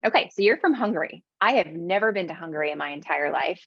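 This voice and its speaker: A woman's voice: fairly low pitch, expressive, fast, husky, no room sound, highs cut off. A woman from the American South, a business owner answering interview questions with emphatic repetition. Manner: emphatic, repeats phrases for stress.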